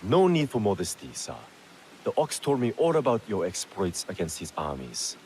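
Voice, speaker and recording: An actor playing a cynical, scheming smuggler merchant in a video game, suave, sly and mock-polite. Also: male voice, on the low side, huge pitch swings, moderate pace, a little mellow, slight background noise. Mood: flattering. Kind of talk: video game cutscene acting. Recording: music faintly behind the voice.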